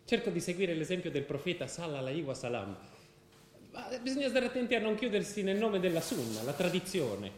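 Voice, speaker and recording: A devout, mystical convert to Islam, speaking in a high register. He explains belief earnestly and didactically in a stage drama, with audible room echo around the voice. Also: very animated, brisk, a little hiss or hum. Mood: fervent, explanatory. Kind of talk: theatrical radio drama dialogue. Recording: roomy, echoing stage sound.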